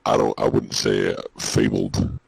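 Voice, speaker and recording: Man doing a gravelly deep-voiced robot co-host. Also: flat delivery, a little slow, dry recording, exaggerated voice. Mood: dry, skeptical. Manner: dry, skeptical, literal replies. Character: dry male robot companion, skeptical and literal. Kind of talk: comedic in-character podcast.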